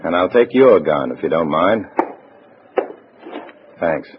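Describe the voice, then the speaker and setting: An actor playing a tough, wary undercover agent in a 1950s radio drama, with a clipped, wry, hard-boiled delivery. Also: male voice, low-pitched, expressive, takes it slowly, slight background noise. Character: tough, wary male undercover agent posing as communist comrade. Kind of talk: 1950s radio drama acting with first-person narration. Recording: muffled old radio recording, loud music.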